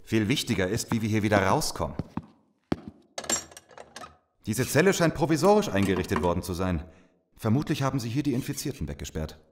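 An actor playing a grim, disillusioned soldier and survivor in an audio drama, brooding and serious. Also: male voice, fairly low pitch, sing-song, fast, background noise. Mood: matter-of-fact. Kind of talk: audio drama narration and dialogue.

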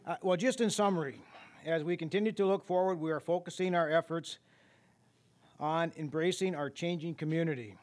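A man's voice: on the high side, fairly quick, darkish tone. A man in his sixties, a mayor, reading prepared closing remarks steadily at a podium mic in a meeting room. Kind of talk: closing speech.

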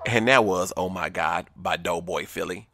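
A man's voice: low voice, moderate pace, vocal fry, pushed and bright. A man hosting a radio show from the area, with a laid-back, casual interviewing manner. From Evanston, Illinois.

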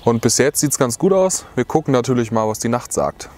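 A man's voice: mid-range voice, expressive, fairly quick, a little mellow. A young man vlogging outdoors from under a tarp, chatty and rambling, with lots of filler words, talking to a close camera. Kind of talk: casual chat to camera.

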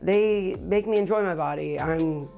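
A middle-aged man with a high voice, speaking matter-of-factly in an interview, with frequent fillers. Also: measured pace, vocal fry, warm tone, soft background music. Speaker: record holder. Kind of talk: interview monologue. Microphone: lapel.

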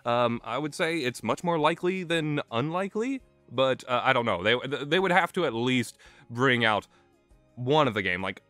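Bright-voiced young man rambling over music. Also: medium pitch, lively intonation, normal speed, no room sound. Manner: hesitant, self-deprecating, chuckles as he talks. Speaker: visual novel reviewer. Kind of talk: casual unscripted rambling commentary.

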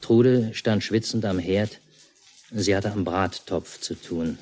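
Deep-voiced, laconic fisherman father. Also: a little mellow, slightly rough. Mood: calm narration. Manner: laconic, unhurried. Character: stoic, dryly grumbling countryside father and fisherman, narrating. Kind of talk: 1950s German radio play acting.

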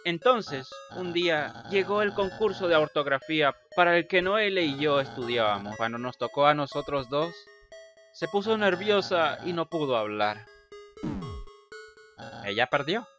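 A man voicing a vain, insecure young blue bird in a video game fandub, theatrical, halting and self-important. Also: on the high side, expressive, a bit bright, soft background music. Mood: dejected, confessional.

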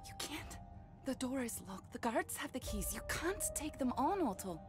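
Scared captive mom in a game, hushed n pleading, highish, very expressive pitch, music behind. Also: female voice, normal speed. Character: worried, protective captive mother. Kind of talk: video game cutscene acting.

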